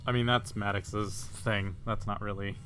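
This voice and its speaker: A man plays a tabletop roleplaying game, chatting casually in a bright, forward voice that sits slightly low. There is light noise behind him. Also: steady tone, unhurried.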